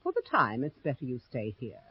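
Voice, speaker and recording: An actor voicing a proud, evasive old grandmother in a radio horror play, husky, formal and deliberate. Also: medium pitch, huge pitch swings, exaggerated voice. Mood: haughty, guarded. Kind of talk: 1970s radio horror drama acting. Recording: dull lo-fi 1970s radio recording.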